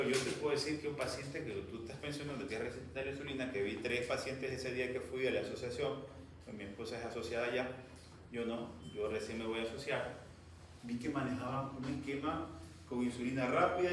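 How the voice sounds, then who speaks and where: A man's voice: mid-range voice, steady tone, a little fast, slight background noise. A man giving a medical lecture to students, stern and scolding at times. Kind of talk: classroom lecture. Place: classroom. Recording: echoey classroom from far off.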